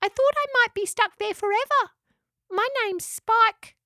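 Squeaky little echidna girl. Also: unhurried, pushed and bright, dry recording, studio quality, full character voice. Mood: grateful, relieved. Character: small shy female echidna, polite and grateful.

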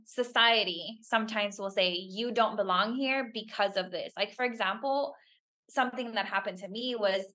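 A woman's voice: medium pitch, pushed and bright. A woman whose first language is Spanish, a guest telling stories reflectively in a casual interview. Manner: reflective, storytelling.

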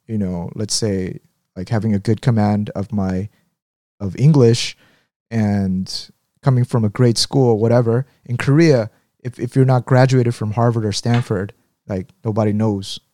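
A man's voice: mid-range voice, mellow, dry recording, clean studio sound. A man who grew up in the United States, speaking as an interview guest and telling his story frankly, often restarting his sentences. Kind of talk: candid conversational storytelling.

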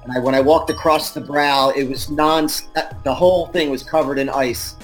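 A man's voice: a little high, fairly even intonation, normal speed, faint music behind, low-quality recording. A man in his fifties, a navy veteran guest telling stories with dry self-deprecating humour in casual live-show chat.

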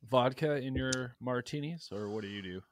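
A man's voice: mid-range voice, normal speed, a little hiss or hum. A man co-hosting a casual podcast, joking and rambling, often trailing off into mumbles. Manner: jokey, rambling, drops to mumbles. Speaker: podcast co-host. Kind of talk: casual chat.

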